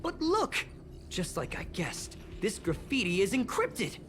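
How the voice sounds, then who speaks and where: A woman voicing an excitable, anxious young space station researcher in a game, expressive and full of sighs and exclamations. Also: medium pitch, measured pace, soft background music. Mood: intrigued, worried. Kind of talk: game dialogue acting.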